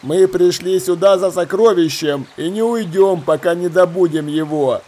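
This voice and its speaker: A man voicing a coolly menacing pirate ringleader in an audiobook, deliberate and commanding, drawing out his words. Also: high-pitched, mellow. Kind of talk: acted audiobook dialogue.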